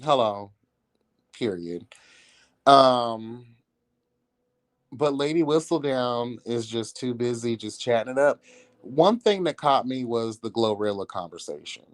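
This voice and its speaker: A slow-talking man hosts a casual gossip commentary in a very dry, close recording. His pitch sits in the middle for a man. He is sassy and catty, putting things bluntly in slangy, conversational language. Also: animated, dry recording. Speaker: livestream commentary host.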